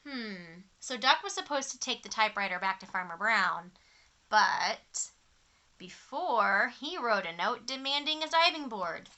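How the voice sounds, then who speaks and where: A woman with a very bright, slightly high voice reads a children's picture book aloud. Her delivery is expressive and simply phrased, pitched to young listeners. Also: unhurried. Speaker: children's storytime reader.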